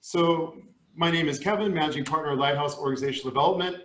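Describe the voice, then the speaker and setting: A man in his forties hosts a webinar over a video call, friendly and upbeat with small restarts. His slightly high voice rings in a roomy, echoey space. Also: fast, a bit bright, a little hiss or hum. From San Diego, USA. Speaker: coach and managing partner. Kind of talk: webinar hosting. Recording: echoey room.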